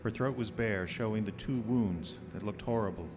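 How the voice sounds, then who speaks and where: An actor playing an earnest doctor narrating his journal in formal, measured diction in a radio horror drama. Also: fairly low pitch, heavy background noise, close to an everyday voice. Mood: grave narration. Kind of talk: old-time radio horror drama acting. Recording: live radio play under loud music.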